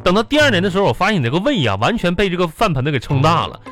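Exasperated husband, northeastern chinese accent, talks fast, super animated, folksy radio comedy teasing. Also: male voice, high voice. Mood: griping, teasing. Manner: folksy, exaggerated, fast-talking comic griping. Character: exasperated, wisecracking husband teasing his gluttonous wife. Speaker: radio show host and performer. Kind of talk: comic radio drama dialogue. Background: music bed.

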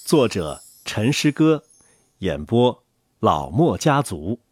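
A man narrating a children's story in an expressive, playful way. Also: lowish voice, slow pace. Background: music.

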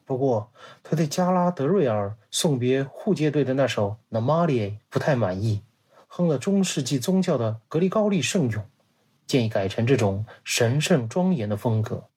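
A man's voice: mid-range voice, expressive, normal speed, darkish tone, breathy edge. A man giving a scripted, dramatized narration like a radio play, acting out quoted dialogue as he tells it. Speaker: guest storyteller.

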